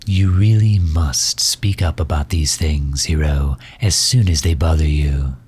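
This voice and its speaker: Man acting a very deep, husky, doting villain. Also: darkish tone, exaggerated voice. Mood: tender, attentive. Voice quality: husky, breathy low voice. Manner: formal, solicitous, unhurried. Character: doting megalomaniac villain, formal, gently tending injured nemesis. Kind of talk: ASMR roleplay, intimate acting.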